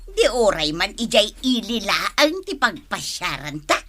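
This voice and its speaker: A woman's voice: medium pitch, big pitch swings, a little slow, pushed and bright, full character voice. A woman playing an eager village wife in a comedy drama, drawling and insistent. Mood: playful, gossipy. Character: eager village wife planning outings for social media.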